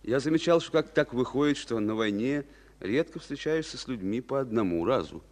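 An actor playing a reserved, sincere army captain around thirty in a wartime radio drama, plain-spoken and restrained. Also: male voice, medium pitch, lively intonation, brisk, a little mellow, long reverb tail, lightly acted. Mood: quietly warm. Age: young adult.

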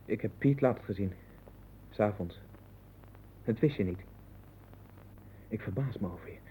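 An actor in a 1960s radio play voicing a troubled man sinking into obsessive visions, erratic and husky. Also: fairly low pitch, measured pace, a little hiss or hum, natural voice. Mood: brooding, suspicious. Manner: erratic, swinging from hushed brooding to loud agitation. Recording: muffled narrowband old radio recording.

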